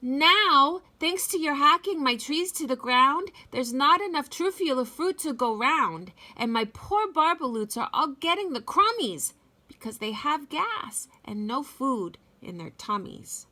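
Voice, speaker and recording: Woman acting small grumpy storybook creature, scolding, high-pitched, emphatic, sharp and forceful. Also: sing-song, exaggerated voice. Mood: indignant scolding. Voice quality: coughing, croaky delivery. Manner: scolding, emphatic, drawn-out words. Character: grumpy, indignant small creature, guardian of trees.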